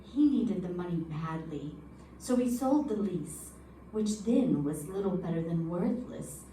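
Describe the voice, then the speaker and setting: Woman playing an anxious male informant, mellow, echoey. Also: fairly low pitch, expressive, unhurried. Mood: grave, convinced. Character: anxious, earnest male informant fearing for his life. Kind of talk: old-time radio drama acting. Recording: echoey room, distant mic, background noise.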